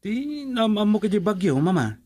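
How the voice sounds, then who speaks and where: A man's voice: high-pitched, lively intonation, normal speed, a little mellow, no room sound. A young man with an Ilocano accent acting an earnest, pleading grown son in a radio drama.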